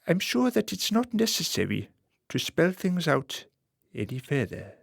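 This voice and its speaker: A man acting a suave, calculating political fixer in a radio play, speaking formally and deliberately with quiet menace. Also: a little high, huge pitch swings, vocal fry, husky, dry recording, studio quality, heavily acted. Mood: cold, instructing. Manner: formal, measured, deliberate phrasing.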